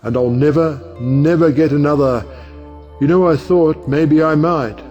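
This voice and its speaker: An actor playing a jovial, sentimental older judge in an audio play, declaiming in a drawn-out, theatrical way. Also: male voice, on the high side, darkish tone, highs cut off, faint music behind, lightly acted. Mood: exuberant.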